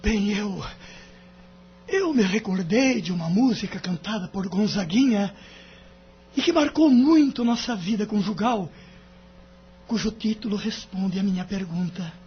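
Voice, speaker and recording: An actor playing a sentimental, devoted husband, tender and hesitant, in an audio drama. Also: male voice, high voice, a little slow, pauses often, slight background noise. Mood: tender, moved. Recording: dull lo-fi audio, music underneath.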